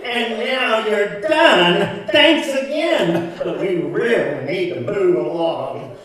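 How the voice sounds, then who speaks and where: A pompous, dismissive theater director acted by a man, high-pitched and drawn out in delivery. He speaks with flamboyant, condescending airs in a radio comedy staged in a very echoey hall. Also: expressive, slow pace, fairly bright tone, heavily acted. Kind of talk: live old-time radio comedy acting. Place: community center, live stage show. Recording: echoey hall, far from mic.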